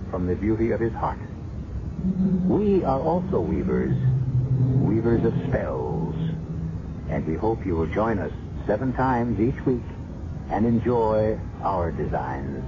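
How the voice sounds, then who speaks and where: A man hosting and narrating a 1970s radio drama series, reading scripted lines with deliberate, theatrical phrasing. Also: lowish voice. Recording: muffled, noisy 1970s radio broadcast recording.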